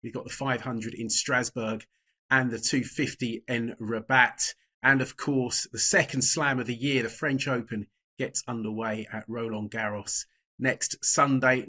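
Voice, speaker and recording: A man hosting a tennis betting podcast, reading a brisk intro and then chatting in a relaxed, self-deprecating way. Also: medium pitch. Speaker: podcast host.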